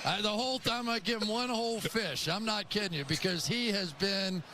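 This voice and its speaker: A man's voice: sits high, normal speed. A man with an American accent giving conversational football analysis on a game broadcast. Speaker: football color commentator. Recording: game broadcast audio with clear noise.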